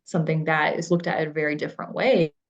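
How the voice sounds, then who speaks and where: Low gravelly woman from ukraine, slow careful talk with lots of ums, kinda muffled audio. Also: fairly even intonation. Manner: thoughtful, hesitant with many fillers. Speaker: psychiatrist. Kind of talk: casual professional discussion.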